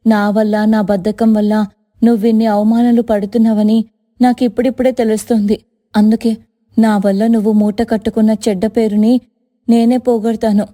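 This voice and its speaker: Woman acting mellow, even-toned village family woman. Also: on the high side, moderate pace, close to an everyday voice. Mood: remorseful. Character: humble women of a village tailoring family, mother-in-law and daughter-in-law. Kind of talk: animated moral story dialogue.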